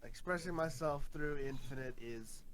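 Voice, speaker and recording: A man voicing a sullen, deadpan jackal villain. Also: on the high side, moderate pace, a loud crowd, close to an everyday voice.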